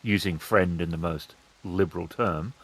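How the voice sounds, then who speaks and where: A man's voice: sits low, moderate pace, a bit bright, breathy edge. A man narrating a tabletop roleplaying game as its game master, describing the action.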